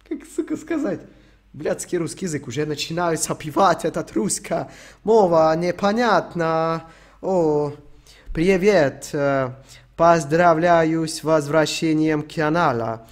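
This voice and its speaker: A young man from Ukraine talks to his stream in a loose, joking way. His voice runs a little high, and he swears freely as he rambles through the questions. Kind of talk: casual stream Q&A.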